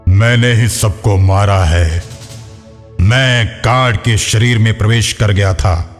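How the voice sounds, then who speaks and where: A man's voice: fairly low pitch, slow pace. A man playing a vengeful young ghost in a cartoon horror story, making solemn declarations. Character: vengeful young male ghost confessing his murders. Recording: long reverb, music behind.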